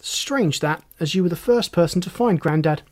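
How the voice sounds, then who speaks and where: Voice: medium pitch, lively intonation, normal speed, a little mellow, close to an everyday voice. A narrator voicing a commanding, wry male police inspector in an audiobook, folksy and teasing with pointed questions. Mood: probing, sardonic.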